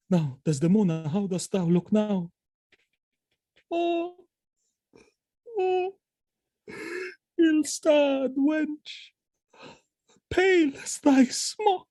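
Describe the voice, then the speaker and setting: An anguished, proud general speaks in slow, weighty Shakespearean verse. The voice is mellow and recorded close and dry, with a declamatory, grief-laden manner. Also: male voice, very high-pitched, no room sound, exaggerated voice. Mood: grief-stricken, weeping. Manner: declamatory, emotionally heavy, drawn-out phrasing. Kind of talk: Shakespearean audio drama acting.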